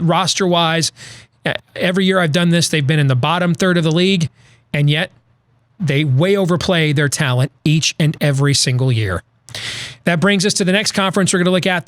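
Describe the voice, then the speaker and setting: A man's voice: fairly high pitch, a bit bright, dry recording. A man hosting a podcast, giving a conversational college football analysis with frequent restarts and self-corrections. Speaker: podcast host.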